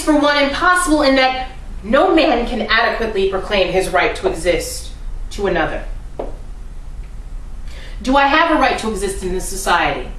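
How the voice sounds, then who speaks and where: A woman performing a dramatic monologue as a defiant, oppressed defendant, speaking deliberately and solemnly with weighted pauses. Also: fairly high pitch, a bit bright. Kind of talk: dramatic courtroom monologue reading. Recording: roomy, distant mic with faint noise.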